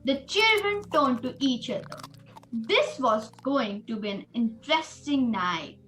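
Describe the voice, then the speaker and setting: A woman's voice: sits high, expressive, measured pace, a bit bright, slight background noise, poor audio. A woman narrating a spooky student radio play as a scripted storyteller.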